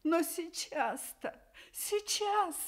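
A woman's voice: very high-pitched, animated, drawn-out words, full character voice. An actress playing a desperate, humble old grandmother pleading in a radio drama. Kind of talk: Soviet radio play acting.

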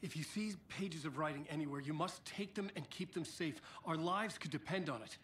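Man playing a frantic high-pitched haunted writer. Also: fairly bright tone, a little hiss or hum, close to an everyday voice. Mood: shaken, urgent. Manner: halting, breathless, urgent. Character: frantic missing male writer, haunted and fearful. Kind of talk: video game drama acting.

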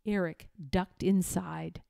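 A woman reading a children's story aloud in a deliberate, expressive, dramatic style. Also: on the low side, gravelly, dry recording, studio quality. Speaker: storybook reader.

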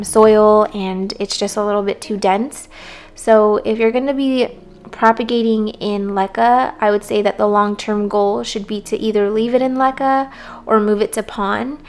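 A hoarse woman casually talking through plant care tips, relaxed and rambling. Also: medium pitch. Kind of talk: casual voice-over talk.

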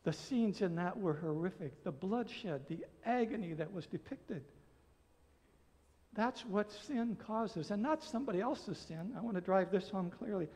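Older american guy preaching, kinda high voice, earnest and emphatic w/ pauses, big echoey church. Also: lively intonation, a little mellow. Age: 60s. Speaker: pastor. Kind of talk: sermon. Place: church sanctuary, at a pulpit. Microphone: gooseneck pulpit microphone. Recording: reverberant church hall.

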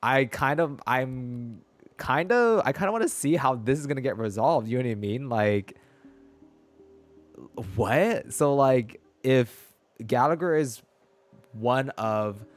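A man's voice: mid-range voice, slow pace. Sassy young male streamer, casual and slangy. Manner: sassy, slangy, animated reacting. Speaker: game streamer. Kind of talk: casual livestream reaction commentary. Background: game music.

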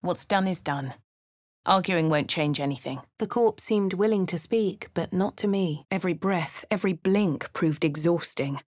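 Brief: posh English adventurer heroine, played by a woman, dry and crisp, a touch low, in a dry studio booth. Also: moderate pace, darkish tone, no room sound, natural voice. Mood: wry. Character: posh, plucky female adventurer hero. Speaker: player character. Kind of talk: video game voice acting.

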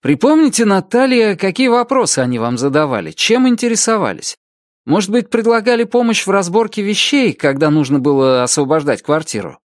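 A confident police investigator acted by a male narrator puts courteous, probing questions in a detective audiobook. His pitch is lifted, and the recording is dry. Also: very animated, fairly quick, no echo. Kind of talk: audiobook character dialogue.

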